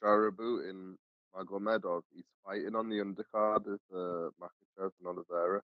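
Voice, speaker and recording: A man's voice: deep voice, fairly even intonation, a little fast, no room sound. A man chatting about fights on a podcast, hesitant with many ums and chuckles, over an online call. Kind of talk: casual sports chat. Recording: dull, dry online call audio.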